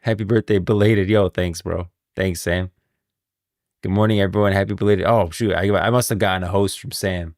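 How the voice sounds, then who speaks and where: A man with a deep voice hosts a livestream, captured close and dry. He chats casually and reacts as he goes, using informal slang and often thanking his viewers. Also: steady tone, moderate pace, darkish tone, no room sound. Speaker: livestream host.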